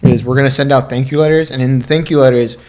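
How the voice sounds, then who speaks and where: A man's voice: mid-range voice, fairly even intonation, fast, darkish tone. A man podcast co-host in casual conversation with friends, using loose slang and swearing freely. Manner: profane, slangy. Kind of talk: casual chat among friends.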